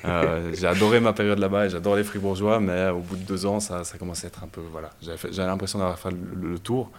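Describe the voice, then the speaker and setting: A young man from Belgium, a startup founder telling his story in a casual interview, relaxed and hesitant with many fillers. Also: low-pitched, quick pace. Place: startup incubator office.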